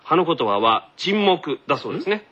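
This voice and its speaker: A man's voice: a little high, very animated, normal speed, bright, forceful tone, slightly rough. A man co-hosting a comedic radio show, bantering freely in a playful, mock-indignant, joking manner. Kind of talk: comedic free-talk banter. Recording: dull lo-fi radio recording. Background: music.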